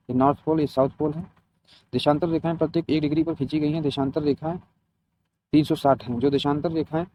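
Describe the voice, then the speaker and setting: A man with a fairly high voice gives an instructional lesson. He reads out material as a teacher would and breaks off to explain it simply. The recording is somewhat dull and lo-fi. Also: a little hiss or hum. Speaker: geography teacher for competitive exam students. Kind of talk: scripted lesson read aloud with short explanations. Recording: dull, lo-fi, muffled sound.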